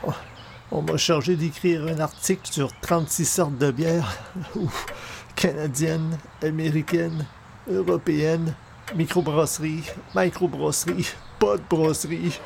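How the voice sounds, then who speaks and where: A man playing a hungover, irritable newspaper columnist in a radio comedy, pained and halting. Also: a little high, fairly even intonation, vocal fry, a little hiss or hum. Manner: pained, halting, pleading for quiet. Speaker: actor in an audio comedy. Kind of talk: comedy in the manner of old radio shows.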